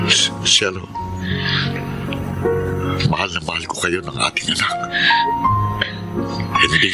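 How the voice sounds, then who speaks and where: An actor playing a steadfast, loving husband and father in a radio drama, with an earnest, solemn delivery. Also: low-pitched.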